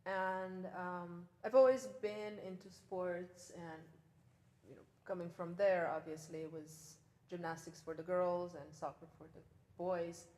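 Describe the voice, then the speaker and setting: A woman's voice: lowish voice, steady tone, measured pace, dark tone, reverberant. A woman in her forties from Romania, a fitness trainer chatting easily in an interview. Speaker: personal trainer, gym co-owner. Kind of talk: casual interview.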